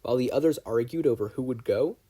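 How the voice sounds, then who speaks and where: Guy reading a fanfic audiobook, soft mellow voice, bit husky, story narration. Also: medium pitch, fast talker. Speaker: fan fiction audiobook reader.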